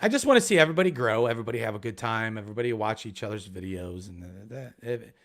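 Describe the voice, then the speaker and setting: Guy from ohio chatting on a live stream, gets excited, trips over his words a lot. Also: lowish voice, fast talker. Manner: excitable, rambling, many restarts. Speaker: metal detecting YouTuber, guest. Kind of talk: casual live stream chat.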